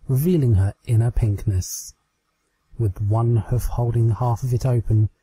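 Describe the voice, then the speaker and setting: British man, amateur story narrator, unhurried, soft dark timbre. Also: lowish voice, slightly rough, low-quality recording. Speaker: amateur fan fiction reader.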